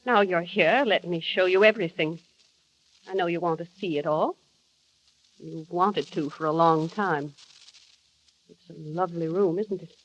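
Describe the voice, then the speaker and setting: An actress playing an obsessive, possessive housekeeper in a vintage radio drama, coaxing and insistent. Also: female voice, fairly low pitch, a bit bright, slight background noise. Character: obsessive, possessive middle-aged housekeeper woman, coldly devoted. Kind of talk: 1940s radio acting. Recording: dull vintage radio recording.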